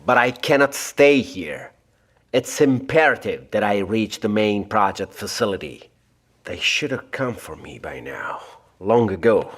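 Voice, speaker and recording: A stranded government doctor in an audio drama. His lowish voice is formal and precise, anxious and insistent, with a self-important edge. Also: a bit bright, full character voice.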